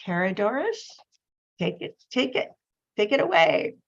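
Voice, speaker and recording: Slow-paced woman host on dull video call. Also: mid-range voice, big pitch swings. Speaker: host introducing a poetry reading. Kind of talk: informal spoken introduction of an online reading.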